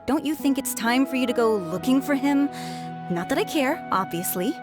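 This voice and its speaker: A woman's voice: high-pitched, expressive, moderate pace. An actress playing a prickly woman who feigns indifference, speaking dismissively. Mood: aloof. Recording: game dialogue over music.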